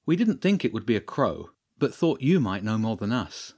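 Guy doing a young girl in a kids adventure book, direct and earnest, lively pitch. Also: normal speed, breathy edge, full character voice. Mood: earnest, polite.

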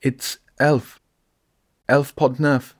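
A man voicing a fourteen-year-old girl who is quiet and hesitant but determined, speaking plainly. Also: medium pitch, little pitch movement, drawn-out words, voice pushed up. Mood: stunned, unsure.

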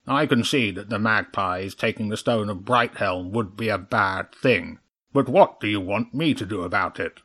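A man's voice: fairly low pitch, moderate pace, fairly bright tone. A man voicing a stern, cold chairman crow in a children's audiobook, curt and authoritative. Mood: cool, questioning.

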